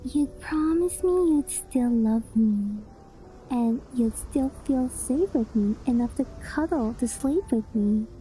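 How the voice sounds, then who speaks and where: A woman's voice: on the high side, expressive, takes it slowly, faint music behind, poor audio. A woman voicing a doting, clingy fox-spirit wife in an intimate ASMR roleplay, coy and hesitant, often slipping into whispers. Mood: doting, soothing. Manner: coy, hesitant, affectionate, softly breathy with whispered phrases. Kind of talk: ASMR roleplay, intimate sleep-aid monologue.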